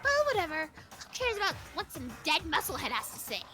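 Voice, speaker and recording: A woman's voice: extremely high pitch, sing-song, fairly quick. A woman voicing a gleefully cruel, mocking little robot bear villain for a fan dub of a game, with taunting, sing-song, theatrical delivery. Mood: dismissive, sinister. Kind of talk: fan voice-over of a visual novel game. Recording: game music behind the voice.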